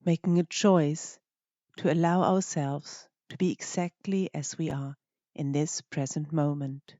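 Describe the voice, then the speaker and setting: Mellow, unhurried meditation guide. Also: medium pitch, steady tone, no room sound, lo-fi sound. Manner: gentle, unhurried, phrases broken by pauses. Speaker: meditation teacher.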